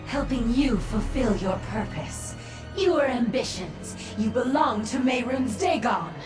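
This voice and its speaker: An actress voicing a cold, composed otherworldly sorceress in a video game, speaking in a formal, measured, haughty way. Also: female voice, on the high side, expressive. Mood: menacing triumph. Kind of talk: video game character dialogue. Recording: echoing voice over background music.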